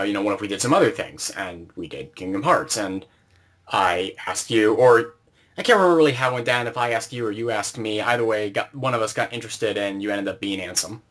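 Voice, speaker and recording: A man with a mid-range voice hosts a relaxed-format interview. He talks casually and conversationally, as host to his guest. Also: medium pitch.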